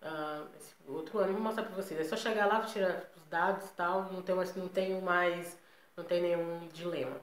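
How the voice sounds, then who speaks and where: Brazilian woman vlogger, Brazilian Portuguese accent, lowish voice, chatty and emphatic, echoey room. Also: fairly even intonation, a little fast. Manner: chatty, emphatic, frequent tag questions. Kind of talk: casual personal vlog to viewers.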